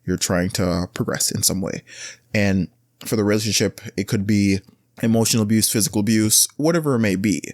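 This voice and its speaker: A man holds forth on his solo podcast, his fairly low voice rambling through blunt advice. When he wants to stress a point, he jumps higher and louder. The sound is dry, with no room around it. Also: brisk, dry recording. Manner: rambling, with frequent restarts and filler words. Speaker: podcast host. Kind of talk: casual solo podcast monologue.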